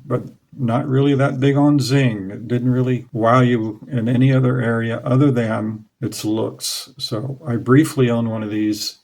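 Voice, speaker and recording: A man in his forties speaks in a relaxed, plain-spoken way, like a hobbyist host looking back fondly. His voice sits at an ordinary middle pitch. It is picked up close by a clip-on mic in a small curtained room. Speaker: radio hobby channel host. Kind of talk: casual explainer to camera. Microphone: clip-on lapel mic.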